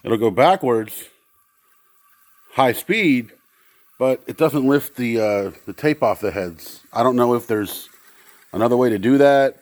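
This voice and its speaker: A man giving a casual, unscripted demonstration, explaining things in a halting, rambling way and often correcting himself. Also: lowish voice, a little mellow, no room sound. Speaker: presenter testing vintage audio gear.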